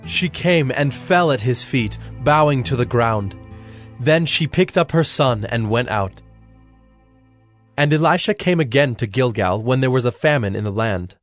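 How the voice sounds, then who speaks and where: A man reading scripted Bible narration in a measured, solemn manner. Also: mid-range voice, animated, soft background music.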